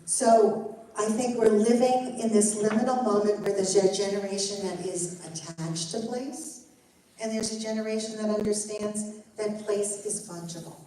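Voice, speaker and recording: A woman's voice: medium pitch, warm tone. An older woman teaches as a guest rabbi in an earnest, encouraging way, speaking straight to the group. Her voice is recorded from a distance in a very echoey hall, with a faint hiss underneath. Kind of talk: Torah study teaching with Q&A. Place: synagogue hall. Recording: echoey room, mic far off, faint noise.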